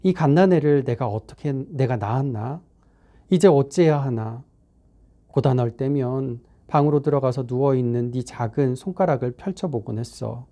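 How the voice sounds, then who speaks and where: A man's voice: medium pitch, normal speed, warm tone, lightly acted. A man reading as a tender dialect-speaking mother. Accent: Korean regional dialect. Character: dialect-speaking mother tenderly recalling raising her child. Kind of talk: dramatic reading of a text.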